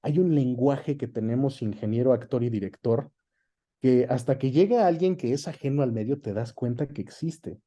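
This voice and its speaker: Male, Mexican accent, mid-pitched and very mellow. Interview guest, explains colloquially with examples. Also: moderate pace. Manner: explanatory, colloquial, uses examples and frequent tag questions. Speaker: interview guest, dubbing director. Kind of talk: conversational interview.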